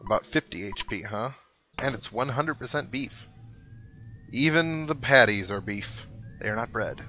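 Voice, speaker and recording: A man's voice: medium pitch, expressive, moderate pace, sharp tone. A man hosting a casual let's play, chatting to viewers and thinking aloud with some wry joking. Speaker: gaming video host. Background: video game music.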